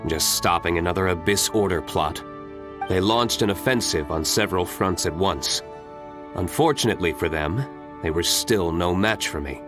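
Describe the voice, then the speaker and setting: A male actor portrays an aloof young nobleman swordsman in a video game. The voice is low and composed, with understated confidence. Music plays clearly underneath. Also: normal speed, close to an everyday voice. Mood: calm, assured. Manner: composed, understated, self-assured. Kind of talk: dramatic video game voice acting.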